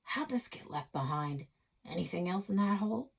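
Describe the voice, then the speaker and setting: Gruff, cranky old grandfather, as a woman reads him. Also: high-pitched, expressive, moderate pace, exaggerated voice. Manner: blunt, grumbling, folksy sarcasm.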